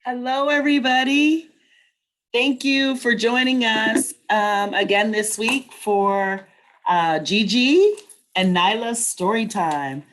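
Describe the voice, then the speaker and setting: A woman's voice: fairly high pitch, slow pace, a bit bright. A woman hosting a webinar, chatting informally as she welcomes listeners and puts simple questions to her co-host. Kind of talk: casual welcoming chat with audience and a child.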